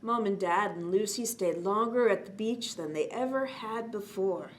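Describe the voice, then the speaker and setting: A woman hosting a children's storytime, reading a bedtime story aloud in an expressive manner and talking gently to young listeners. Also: mid-range voice, normal speed. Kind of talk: read-aloud bedtime story with chatty asides. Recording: clearly noisy background.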